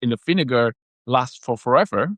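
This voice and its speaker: A man whose first language is Indonesian, co-hosting a casual podcast chat and explaining things in a measured way with frequent pauses and fillers. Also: mid-range voice, expressive, fairly bright tone, no echo. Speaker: health product maker and podcast co-host.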